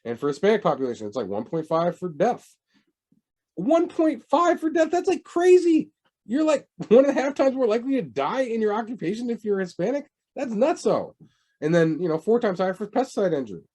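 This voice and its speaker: Guy lecturing, chatty and animated, swings his pitch up a lot when he's surprised. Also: fairly quick. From United States. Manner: lively, conversational, asks rhetorical questions. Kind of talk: recorded public health lecture.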